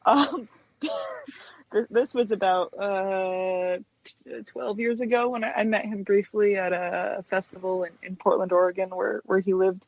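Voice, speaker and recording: A woman's voice: mid-range voice, steady tone, measured pace, creaky, no echo, muffled recording. A woman in her thirties chatting casually and enthusiastically as an interview guest. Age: 30s. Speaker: guest, stop-motion animator.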